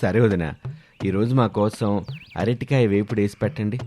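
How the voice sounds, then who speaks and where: A man's voice: fairly low pitch, moderate pace, dark tone, a little hiss or hum, close to an everyday voice. A man voicing a scheming guest in a cartoon moral story, polite and ingratiating as he feigns kinship.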